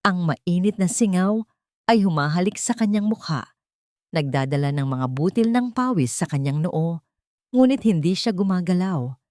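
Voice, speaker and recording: Female audio drama narrator, low register, dramatic scripted delivery, dry studio-style sound. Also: expressive, moderate pace, darkish tone, dry recording.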